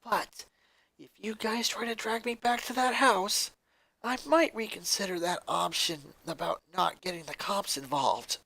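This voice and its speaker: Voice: mid-range voice, measured pace, gravelly, sharp tone, no echo, heavily acted. A narrator voicing a hurt, bitter young boy who talks in an accusing, clipped, scolding way in a story. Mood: cold, stern.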